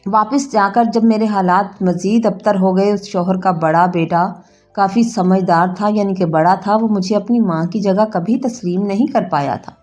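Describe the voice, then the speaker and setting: A woman's voice: medium pitch, fairly even intonation, a little mellow. A woman reading a scripted, emotional first-person story as its narrator. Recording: music bed behind narration. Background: background music.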